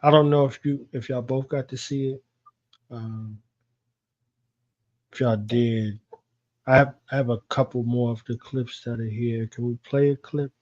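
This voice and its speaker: Male radio host, mid-pitched, casual, chatty, muffled lo-fi sound. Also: fairly even intonation, a little slow, long pauses, darkish tone. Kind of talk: casual chat. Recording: dull, lo-fi connection.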